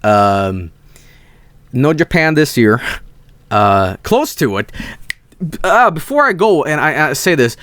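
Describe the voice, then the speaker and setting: Dude rambling on stream, swears a lot, pitch jumps all over, dry close mic. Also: male voice, big pitch swings, slow pace, long pauses, a bit bright, no room sound. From Sweden. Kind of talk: casual storytelling stream.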